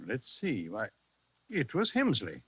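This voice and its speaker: A man's voice: medium pitch, wildly swooping pitch, slow, heavy background noise. An actor playing a nervous but polite businessman in a 1940s radio drama. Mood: uneasy. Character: uneasy, nervous male businessman, polite host. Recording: dull vintage radio recording.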